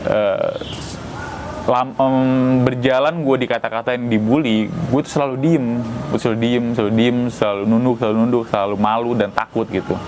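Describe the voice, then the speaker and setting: A man's voice: medium pitch, steady tone, moderate pace, background noise. A man from Tegal, giving a casual, rambling opinion monologue as a vlogger and repeating his own phrases. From Tegal, Central Java.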